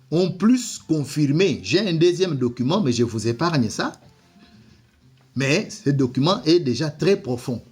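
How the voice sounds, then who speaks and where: Slow hesitant man, non-native speaker, commentary over faint music. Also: fairly high pitch, a little mellow, husky. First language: not French. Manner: hesitant, frequent repeated words and fillers. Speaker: commentator presenting a document. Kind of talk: slow spoken commentary reading from a book.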